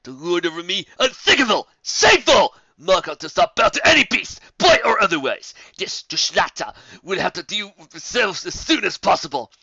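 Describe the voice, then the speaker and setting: A man's voice: high voice, big pitch swings, normal speed, vocal fry, few pauses, bright, forceful tone, breathy edge, dry recording, lo-fi sound, pitched above the performer's own voice, full character voice. A man voicing a proud but cowardly, hot-tempered monster captain who stammers and blusters in a fantasy story. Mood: indignant fury. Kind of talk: audiobook fantasy villain.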